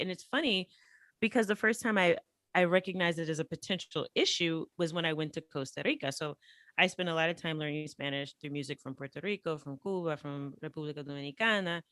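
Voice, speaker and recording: A woman from the United States, a guest on a podcast, telling stories in an animated, chatty way with many fillers. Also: lowish voice, quick pace, no room sound. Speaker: podcast guest, language teacher. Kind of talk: casual interview storytelling.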